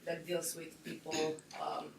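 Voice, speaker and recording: Lower woman in a roomy space. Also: monotone, measured pace, vocal fry, a little hiss or hum. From Slovenia. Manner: hesitant, with fillers. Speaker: head of a branch office. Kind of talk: self-introduction in a group. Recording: echoey room, far mic.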